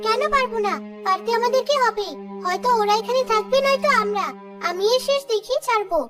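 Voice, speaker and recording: A woman's voice: extremely high pitch, fast talker. A woman voicing a bold, crafty, stubborn little mother bird in a children's cartoon, sly and defiant. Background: music.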